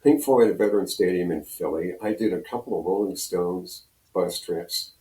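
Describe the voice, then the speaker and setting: A man's voice: low-pitched, normal speed, warm tone. An older American man from Pennsylvania reminiscing casually as an interview guest, telling rambling anecdotes. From Pennsylvania, United States. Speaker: guest, retired radio personality. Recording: roomy echo, distant from mic.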